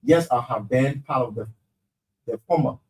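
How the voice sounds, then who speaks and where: A man's voice: mid-range voice, moderate pace, low-quality recording. Man co-hosting a talk show. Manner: animated, repeats words when he gets emphatic. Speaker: co-host of a night talk show. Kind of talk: casual studio chat and reading aloud.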